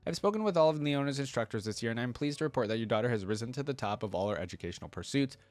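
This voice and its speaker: A man's voice: mid-range voice, expressive, very fast, a little mellow, dry recording. A man giving casual commentary and reading game lore aloud, explaining things and often checking in with the listener. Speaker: reaction video host.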